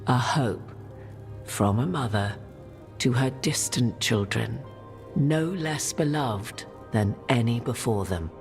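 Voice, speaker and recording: A worldly-wise witch from a fantasy game, regal and knowing. Her phrases are archaic and eloquent, rising and falling in animated swoops over a bed of music. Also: extremely low pitch, takes it slowly, heavily acted. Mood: solemn. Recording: music under the voice.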